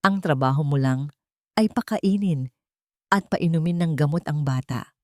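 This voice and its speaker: A woman's voice: deep voice, moderate pace, dry recording, clean studio sound, close to an everyday voice. A woman voicing a cold, domineering, villainous lady of a wealthy house in an audio drama, icy and commanding. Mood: menacing. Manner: icy, commanding, hissing menace.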